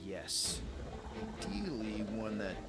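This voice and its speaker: Flippant space outlaw, lively pitch. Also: male voice, slow pace, over loud music. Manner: flippant, wisecracking. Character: young brash human male space outlaw leader, flippant. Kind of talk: video game voice acting.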